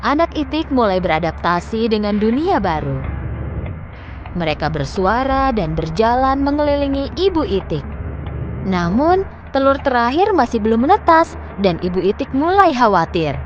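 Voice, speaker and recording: A woman's voice: on the high side, sing-song, moderate pace, highs cut off, slight background noise. A woman storyteller reading scripted fairy tale narration for children.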